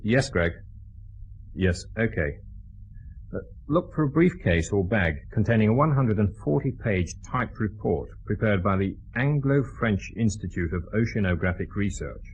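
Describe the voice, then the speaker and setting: Dull-sounding, low-voiced brusque British intelligence officer. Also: male voice, lively intonation, moderate pace, breathy edge. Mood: businesslike. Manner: clipped, incredulous, commanding. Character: brusque British male intelligence officer, dogged and wearily exasperated. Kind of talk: radio drama acting.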